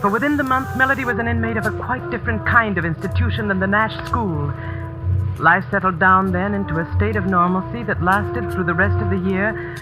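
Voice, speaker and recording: An actress playing a tense, determined schoolteacher in a 1940s radio suspense drama, intense and halting for effect. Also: female voice, mid-range voice, music in the background, natural voice, poor audio. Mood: suspenseful. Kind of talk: dramatic first-person radio narration, 1940s.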